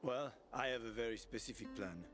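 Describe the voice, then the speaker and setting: A man plays a weary but friendly young man in a video game who coaxes and persuades in an everyday mid-pitched voice. He speaks plainly and sometimes crudely. Game music plays clearly behind him. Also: brisk, a bit bright, close to an everyday voice. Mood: earnest. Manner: friendly, coaxing, a bit crude.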